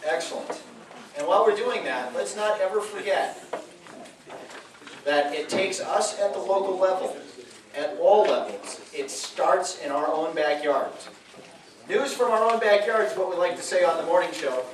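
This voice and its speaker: A man's voice: high-pitched, normal speed, noisy background. A man, a radio host emceeing a public meeting, telling stories in a folksy way with chuckling asides. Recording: echoey room from a distance.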